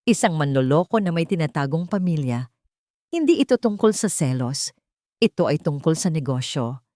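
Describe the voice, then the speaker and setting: A woman's voice: sits low, big pitch swings, moderate pace, warm tone, dry recording, studio quality. A woman narrating a scripted dramatic story as a storyteller.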